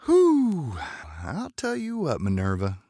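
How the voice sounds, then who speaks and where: A man playing a protective, smitten, folksy romantic lead in an audio drama, earnest and halting, with a gravelly voice. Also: sits high, wildly swooping pitch, warm tone, no echo, clean studio sound, heavily acted. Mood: admiring.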